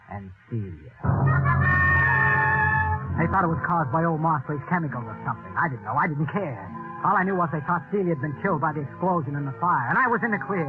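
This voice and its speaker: An actor playing a cocky, desperate killer narrating in slangy, hard-boiled 1940s radio noir style. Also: male voice, a little high, narrow, tinny audio, natural voice. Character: cocky, desperate wife-killer convinced of his luck. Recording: old radio recording, thin band, music behind.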